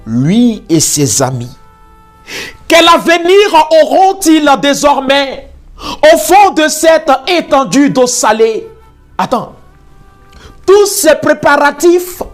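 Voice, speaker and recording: A poet reciting spoken-word verse in a solemn, declamatory way with theatrical emphasis. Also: medium pitch. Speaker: slam poet. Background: music under the voice.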